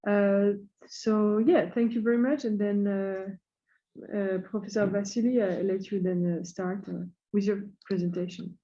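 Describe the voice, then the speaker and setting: A woman's voice: medium pitch, fairly even intonation, mellow. A woman hosting a webinar over a video call, politely introducing a speaker and giving housekeeping rules with frequent hesitations. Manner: polite, halting, full of hesitations. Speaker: webinar host and moderator. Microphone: computer mic on a video call. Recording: dull lo-fi call audio.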